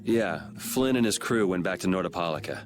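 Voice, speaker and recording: A man's voice: deep voice, animated, brisk, faint music behind. A man playing a cool, laconic young swordsman in a video game, casual and understated. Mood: guarded. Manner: casual, understated, laid-back. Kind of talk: video game cutscene voice acting.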